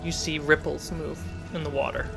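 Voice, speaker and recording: A man's voice: fairly high pitch, little pitch movement, normal speed. A man running a tabletop fantasy game as game master, narrating scenes and calling out results. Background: clear cinematic music.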